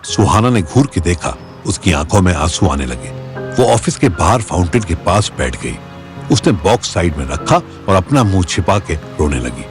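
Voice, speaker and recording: A man's voice: low-pitched, sing-song, normal speed, soft background music. A man narrating a Hindi audio story in a dramatic scripted style.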